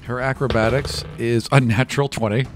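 A man's voice: mid-range voice, steady tone, a little fast. A man in his thirties improvising casual, vivid narration as a game master, close on a studio microphone. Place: small indoor podcast studio. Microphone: close studio microphone with foam cover. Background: background music.

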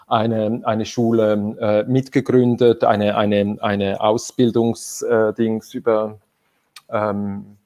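A man with a mellow, darker tone hosts a casual webcam conversation. He talks in a loose way, with plenty of fillers and pauses while he searches for words. He sounds like he is in a room at home. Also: fairly low pitch, level tone. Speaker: host. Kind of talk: conversational video call. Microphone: video call webcam.

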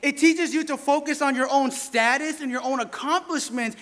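A man in his thirties preaches from a pulpit mic with an animated, playful delivery, calling out to the congregation. His voice sits very high and rings bright and forceful. The sound carries a long church-hall reverb with a faint congregation murmuring behind him. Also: moderate pace. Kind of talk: sermon. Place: church sanctuary, indoors. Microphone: stand mic at a pulpit.

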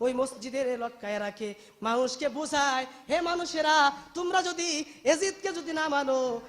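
Guy preaching really high and emotional, repeats lines for effect, big echoing hall sound. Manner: emotive, declamatory, repeats phrases for emphasis. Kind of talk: impassioned religious sermon, storytelling to a congregation. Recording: long hall reverb on the voice.

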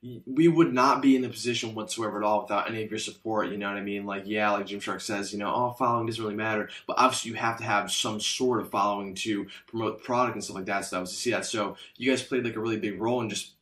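A young man talks fast and low, rambling on with plenty of fillers in an earnest, casual way. He sits in a hotel room, talking to the camera. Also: steady tone, fairly bright tone. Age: young adult. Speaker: fitness creator and guest. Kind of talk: casual vlog talk to camera.

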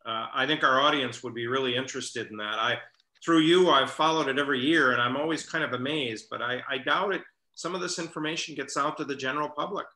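A man's voice: mid-range voice, quick pace, pushed and bright. An older American man hosting a casual panel chat over a video call. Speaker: show host. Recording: echoey room on video call.

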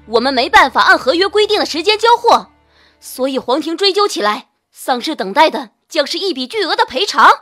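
A woman voicing a demanding, angry young female company boss in an audiobook, with a sharp, commanding, scolding manner. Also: high voice, very animated, fairly quick, faint music behind, exaggerated voice. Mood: cold anger. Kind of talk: dramatic audiobook character acting.

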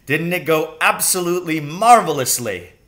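A man voicing a daring, commanding father out poaching in a storybook, with breathy, whispery delivery. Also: on the high side, lively intonation, normal speed, a bit bright, voice pushed up. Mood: exhilarated.

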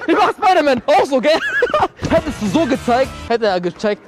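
A young man hosting casual street banter in youth slang, prompting like an animated game-show host into a handheld mic outdoors. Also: extremely high pitch, normal speed. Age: young adult. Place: busy city pedestrian street, outdoors. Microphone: handheld microphone. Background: music.

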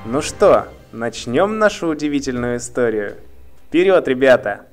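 A man's voice: mid-range voice, sing-song, unhurried. A man giving scripted promotional narration for children as a video host. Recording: music under the voice. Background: music.

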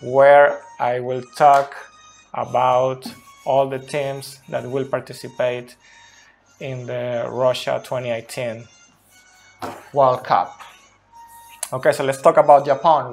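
A man in his thirties, a native Spanish speaker, gives an unscripted soccer explainer in slow, halting, deliberate second-language speech. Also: mid-range voice, flat delivery, faint music behind. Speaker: video host, soccer analyst. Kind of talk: unscripted explainer at a tactics board. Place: small indoor room at a whiteboard. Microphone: cell phone at close distance.

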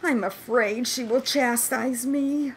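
A woman's voice: on the high side, slow, husky, full character voice. A woman playing an awed, fearful monstrous slave in a Shakespearean radio play, speaking wondering archaic verse. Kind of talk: Shakespearean radio drama acting. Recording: faint noise behind online recording.

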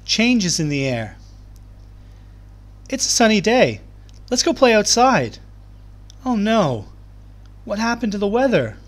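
A man reading aloud to young children as a teacher, explaining things in clear, simple terms. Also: high voice, very animated, measured pace, long pauses. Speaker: primary school teacher. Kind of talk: children's read-aloud lesson.

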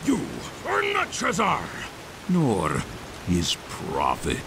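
A man voicing an eerie, scornful otherworldly being in a fantasy game, making drawn-out, ominous pronouncements. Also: medium pitch, huge pitch swings, gravelly, exaggerated voice. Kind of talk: theatrical fantasy game villain. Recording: hissy, with rain noise.

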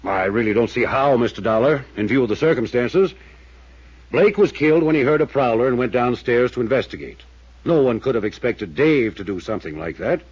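A tired small-town mayor, speaking in a husky, earnest, measured way. His voice comes through dry and muffled on an old radio recording. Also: mid-range voice, expressive, darkish tone, dry recording. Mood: weary. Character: weary, careful small-town male mayor. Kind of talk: 1950s radio drama acting.

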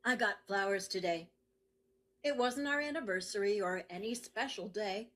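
A woman acting out a trusting, wounded wife in a recited dramatic poem. Her voice sits at a medium pitch, with a confiding, first-person delivery. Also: normal speed, fairly bright tone, natural voice. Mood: wistful. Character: trusting, wounded woman excusing an abusive partner.

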